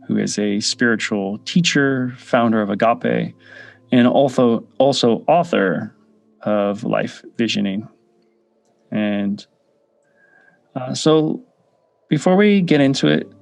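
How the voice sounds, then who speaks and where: A man guiding a meditation, speaking in short measured phrases with pauses between them. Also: medium pitch, vocal fry, a little mellow, no room sound. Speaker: meditation guide. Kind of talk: guided meditation. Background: background music.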